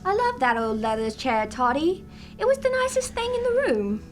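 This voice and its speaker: Woman playing a high, lilting, playful witty wife. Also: very animated, normal speed, faint music behind. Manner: playful, theatrical. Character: witty, affectionate, theatrical wife of college president in radio comedy. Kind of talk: radio drama acting.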